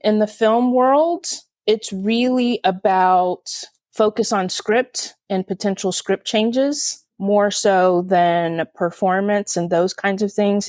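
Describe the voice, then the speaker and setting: Lower-voiced woman explaining, closely dry recording. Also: darkish tone, no echo. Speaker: film and television producer, interview guest. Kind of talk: explanatory interview talk.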